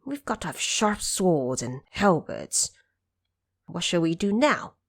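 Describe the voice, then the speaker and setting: A bold male monkey king, confident and scheming, giving orders in a lively, expressive voice in a storybook radio drama, as a woman reads him. He speaks slowly and deliberately. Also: on the low side, husky, heavily acted. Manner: confident, commanding.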